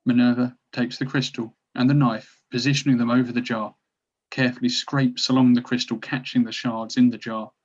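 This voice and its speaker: A man's voice: mid-range voice, little pitch movement, brisk, muffled recording. A man narrating stage directions from a script at a drama table read.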